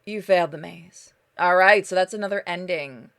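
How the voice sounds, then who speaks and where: A woman's voice: fairly low pitch, normal speed. A woman doing a game playthrough, switching between theatrical reading of story text and casual commentary to viewers. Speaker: gaming streamer reading game text aloud. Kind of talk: game playthrough, dramatic narration mixed with casual chat. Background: game music.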